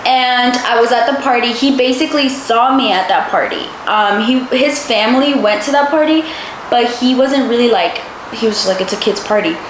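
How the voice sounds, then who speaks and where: Female vlogger, 20s, from the US, slightly high voice, informal chat, clear room noise. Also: a little fast. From United States. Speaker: couple's channel co-host. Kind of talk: casual chat to camera. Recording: roomy echo, clear background noise.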